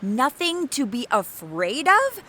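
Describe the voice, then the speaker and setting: A woman's voice: high voice, big pitch swings, slow, pushed and bright, a little hiss or hum, heavily acted. An actress playing a frightened, skeptical young woman in an audio drama, stammering in disbelief. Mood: shaken disbelief.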